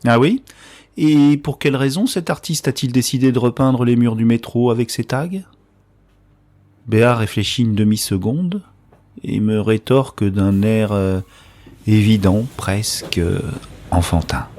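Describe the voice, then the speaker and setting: A man playing an introspective, gently wry narrator in a science-fiction audio drama, speaking in a literary, observational way. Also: medium pitch, unhurried, close to an everyday voice. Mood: pensive, observant.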